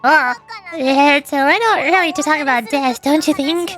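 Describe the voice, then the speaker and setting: A woman's voice: sits high, moderate pace, fairly bright tone, faint music behind. A woman voicing a youthful-sounding, long-lived female shaman from a game, proud and self-assured but easily flustered. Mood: earnest, explaining.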